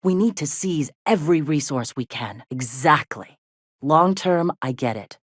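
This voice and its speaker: Blunt, weary rebel medic, low-voiced. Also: female voice, expressive, a little slow, dry recording, clean studio sound. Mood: frustrated, angry. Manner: blunt, earthy, plain-spoken, sardonic. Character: tough, weary, foul-mouthed rebel medic and second-in-command. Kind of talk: video game dialogue acting.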